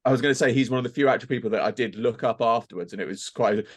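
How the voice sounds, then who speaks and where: A man's voice: medium pitch, rapid-fire. A man chatting casually on a podcast as a voice actor guest, rambling a little and hesitant as he thinks aloud.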